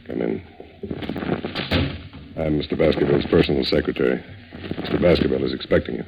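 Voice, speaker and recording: Deep-voiced, deferential giant secretary. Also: male voice, fairly quick, creaky, highs cut off, music in the background. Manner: polite, hesitant. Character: huge, deferential male personal secretary. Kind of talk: 1950s radio drama acting. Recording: band-limited old radio recording.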